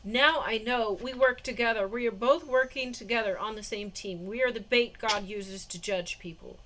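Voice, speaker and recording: A woman's voice: a little high, moderate pace, noisy background. A woman in her forties talking in a casual diary vlog, rambling with many fillers and frequent laughs, recorded indoors on a phone or camera mic. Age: 40s. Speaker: vlogger.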